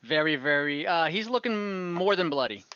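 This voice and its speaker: A man's voice: fairly high pitch, lively intonation, normal speed, pushed and bright, no room sound, dull audio. A man acting as game master, casually running an online tabletop role-playing game.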